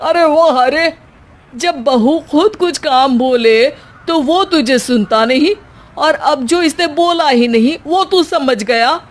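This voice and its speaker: A woman voicing a sharp-tongued, bossy older Indian mother-in-law in a cartoon, accusing and retorting. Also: high-pitched, slow pace. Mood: sarcastic, scolding.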